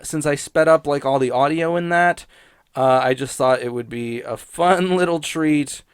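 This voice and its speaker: Clear-voiced man rambling casually, dry close sound. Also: fairly high pitch, unhurried, dry recording. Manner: hesitant, self-deprecating, sweary. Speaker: YouTube host and TV critic. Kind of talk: rambling casual solo commentary.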